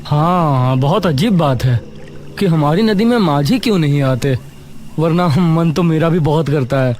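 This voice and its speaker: A man's voice: a little high, a little slow, a little mellow, slight background noise, pitched above the performer's own voice, close to an everyday voice. A man voicing an easygoing, teasing young village boyfriend in an audio horror story. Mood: musing.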